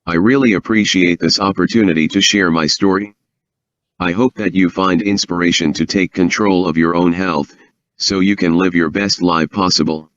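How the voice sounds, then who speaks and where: Deep man, dull dry lo-fi sound. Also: fairly even intonation, normal speed, fairly bright tone, dry recording. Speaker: interview guest and author. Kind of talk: prepared closing statement.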